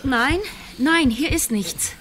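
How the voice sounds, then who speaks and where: A woman's voice: high voice, lively intonation, slow. An actress playing a frightened but determined woman thrown into an emergency in an audio crime drama. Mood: tense, anxious.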